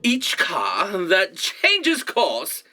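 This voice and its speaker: An actor playing a haughty, melodramatic director of a celestial department in a comic audio drama, declaiming with drawn-out emphasis. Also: medium pitch, wildly swooping pitch, pushed and bright, full character voice. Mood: haughty explaining.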